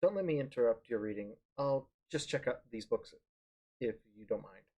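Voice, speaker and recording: A man reading visual novel narration aloud in a live stream, halting and correcting himself as he goes. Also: medium pitch, animated, mellow, slightly rough. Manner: halting, stumbles and corrects words. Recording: music behind the voice.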